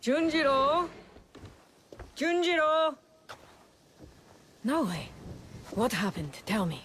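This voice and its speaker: An actress playing a stern, vengeful ally in a video game, firm and emphatic. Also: female voice, sits high, big pitch swings, very slow, fairly bright tone, a little hiss or hum, full character voice. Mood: urgent. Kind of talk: dramatic video game cutscene acting.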